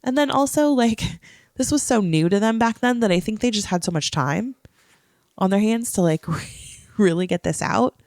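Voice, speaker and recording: A woman's voice: medium pitch, animated, normal speed, darkish tone, dry recording, clean studio sound. A woman co-hosting a casual podcast, congested from a cold and explaining things patiently with frequent laughs. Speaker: podcast co-host. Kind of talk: casual chat.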